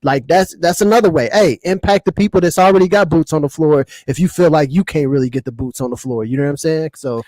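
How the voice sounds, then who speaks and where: Male podcast co-host, somewhat high-pitched, colloquial and slangy, very dry recording. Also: fast talker, few pauses, a little mellow, no room sound. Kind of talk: casual panel chat.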